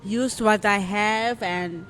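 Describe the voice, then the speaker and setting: A woman in her twenties, a podcast guest telling her own story in a casual, reflective way, often pausing to think aloud. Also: medium pitch, fairly even intonation, fairly bright tone, slight background noise. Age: 20s. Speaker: podcast guest, modeling agency founder. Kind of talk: personal story in casual interview.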